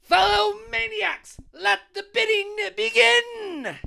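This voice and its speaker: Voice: very high-pitched, takes it slowly, gravelly, background noise, full character voice. A performer voicing a gloating male mad scientist villain with theatrical menace in an audio drama. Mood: gloating triumph.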